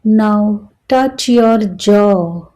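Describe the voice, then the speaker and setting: Very slow, mellow woman guiding meditation. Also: mid-range voice, rough recording. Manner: calm, soothing, short phrases with long pauses. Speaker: meditation guide. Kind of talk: slow guided meditation narration.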